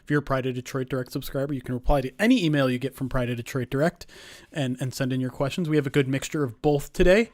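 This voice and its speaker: A man hosting a sports podcast, his voice raspy, chatty and joking at his own expense. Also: mid-range voice, quick pace, darkish tone, dry recording. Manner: chatty, self-mocking, laughs easily. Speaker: podcast host and producer. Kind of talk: casual podcast chat.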